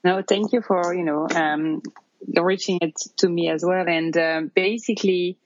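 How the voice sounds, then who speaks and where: A woman in her thirties from France with a French accent, telling her story in a casual interview, halting with many fillers. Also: lowish voice, fairly even intonation, no room sound, lo-fi sound. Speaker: interview guest.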